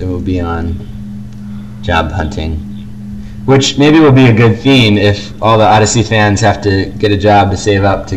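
A man's voice: lowish voice, background noise. A man co-hosting a fan podcast in casual, easygoing conversation. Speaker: podcast co-host.

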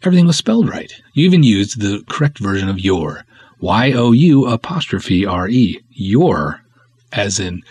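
A man with a fairly low voice and a husky texture talks casually as a podcast host. His delivery is wry and snarky, and the sound is dry and close. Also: no room sound. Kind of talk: casual podcast talk, reading reviews aloud.

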